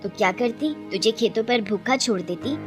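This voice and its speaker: A woman voicing a long-suffering elderly village mother in a moral story, weary and gently reproachful. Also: on the high side, moderate pace, faint music behind, close to an everyday voice. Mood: weary, caring. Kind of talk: dramatised Hindi moral story.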